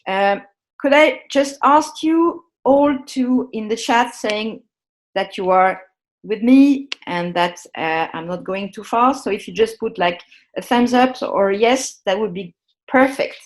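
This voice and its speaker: Woman, online workshop presenter, friendly and halting, video-call mic. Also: a little high. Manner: hesitant, many ums and restarts, friendly instructional. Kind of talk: live online workshop on a shared screen.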